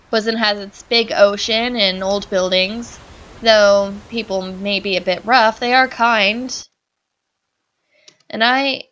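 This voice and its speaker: A woman with a Southern American accent reading the narration of a lonely young female college senior searching for her dream, in a quiet, reflective way. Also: fairly high pitch, dull audio. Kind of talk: reading game text aloud.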